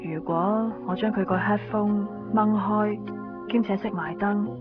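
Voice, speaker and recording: An actress playing a weary, matter-of-fact hospital nurse in a Hong Kong Cantonese radio drama, with a resigned, dry delivery. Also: female voice, medium pitch, fairly even intonation, unhurried, close to an everyday voice. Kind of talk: 1990s radio drama acting and narration. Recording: dull lo-fi radio recording, music behind.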